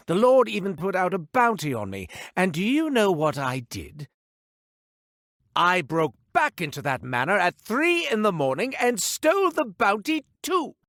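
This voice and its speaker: An actor playing a beleaguered, sardonic ex-thief shopkeeper in a video game, rambling theatrically with exasperated sarcasm. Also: male voice, sits high, fairly bright tone, no room sound, clean studio sound, exaggerated voice. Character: beleaguered, sardonic, cursed former thief turned quartermaster shopkeeper. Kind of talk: theatrical scripted character dialogue.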